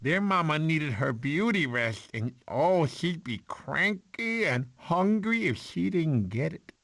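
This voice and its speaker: Goofy, doting sloth with swooping pitch. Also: male voice, very animated, slow pace, gravelly, a bit bright, dry recording, full character voice. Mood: doting storytelling. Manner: rambling, fussy, doting storyteller. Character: goofy, sentimental male sloth uncle telling baby a story. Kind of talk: animated film character narration.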